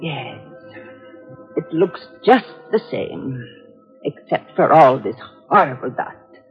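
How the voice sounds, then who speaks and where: A woman's voice: rumbling low, big pitch swings, takes it slowly, soft background music, full character voice. A woman playing an anxious, prim older housekeeper in a 1950s radio drama, genteel and hesitant. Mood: nervous, dismayed. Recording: dull, husky-sounding old radio recording.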